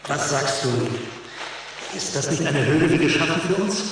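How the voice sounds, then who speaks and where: A man's voice: fairly high pitch, expressive, a little slow. A man playing a cheerful, unworried, teasing middle-aged father in a German audio drama. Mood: enthusiastic. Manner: teasing, enthusiastic, chuckling. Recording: noisy old audio drama recording.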